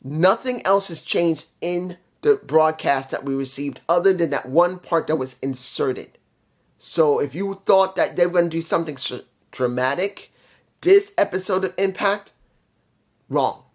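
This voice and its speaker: Congested, nasal man with a slightly high voice, casual rambling wrestling reviewer. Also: measured pace. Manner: rambling, with restarts and stumbles.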